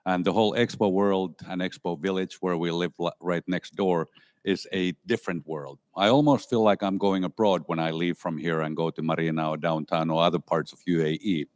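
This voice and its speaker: Man from Finland, low voice, candid, talkative, dry sound. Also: steady tone, brisk, darkish tone, dry recording. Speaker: student panelist. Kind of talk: panel discussion.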